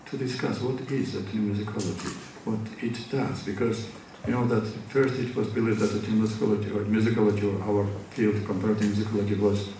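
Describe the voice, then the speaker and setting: A man's voice: on the low side, level tone, fast, hardly any pauses, dark tone, slight background noise. A man from Georgia with a Georgian accent, Georgian his first language, giving an academic lecture at a conference with many hesitations and restarts. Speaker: conference speaker. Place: large conference hall. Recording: echoey hall from far off.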